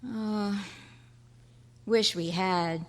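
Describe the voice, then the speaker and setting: A woman's voice: medium pitch, fairly even intonation, drawn-out words. A woman playing an earnest, chatty fourth-grade boy in an old-time radio style performance. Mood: wistful. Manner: chatty, boyish slang. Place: large indoor room at a convention. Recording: echoey hall at a club meeting.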